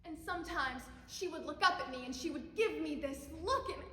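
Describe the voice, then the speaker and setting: A woman performing an intense, bitterly tender grieving young mother in a halting, impassioned dramatic monologue. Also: high-pitched, bright, forceful tone. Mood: tender sorrow. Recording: echoey hall, long reverb.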